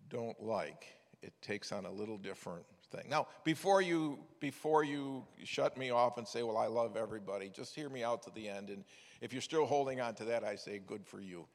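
An older man preaching a sermon, telling stories in a folksy way with frequent restarts. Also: mid-range voice. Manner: folksy storytelling with many restarts. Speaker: preacher, former missions director. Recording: echoey hall with long reverb.

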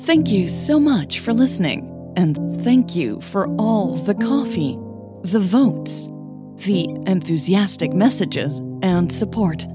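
A woman narrating a scripted audio story as its host, measured and deliberate in her delivery. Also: mid-range voice, animated, music in the background.

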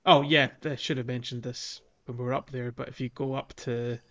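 A man's voice: mid-range voice, little pitch movement, a little fast, a bit bright. A man co-hosting a gaming podcast, chatting casually and explaining things in an informal, hesitant way. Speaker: podcast co-host.

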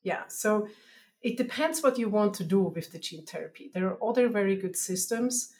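A woman's voice: medium pitch, darkish tone. A woman from Switzerland with a Swiss German accent, explaining science as an interview guest in careful, sometimes hesitant phrasing.

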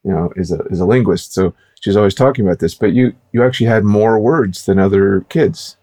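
A man co-hosting a podcast, interviewing a guest in a casual, rambling way with quick asides. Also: on the low side, mellow.

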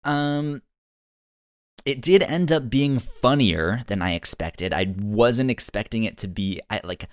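A man's voice: mid-range voice, expressive, slow pace, dry recording, clean studio sound. A man co-hosting a casual review podcast, who often stammers and repeats words as he starts a thought. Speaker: podcast co-host.

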